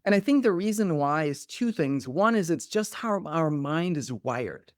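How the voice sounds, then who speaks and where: A man's voice: a little high, lively intonation, normal speed, dry recording, clean studio sound. A middle-aged man from Denmark, a podcast guest and coach speaking reflectively and animatedly, with lots of "like" fillers. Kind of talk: conversational interview.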